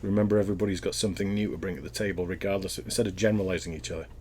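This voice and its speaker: Man, Welsh accent, low-pitched, thoughtful, rambling, casual interview. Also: steady tone, rapid-fire, a little mellow, slight background noise. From Wales.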